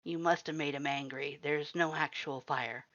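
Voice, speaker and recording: Narrator voicing a gruff, raspy male skeleton monster. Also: steady tone, normal speed, a bit bright, no echo, lo-fi sound, close to an everyday voice. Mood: firm, gruff. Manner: low-key, mumbling. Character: gruff male skeleton monster, guarded but gently protective.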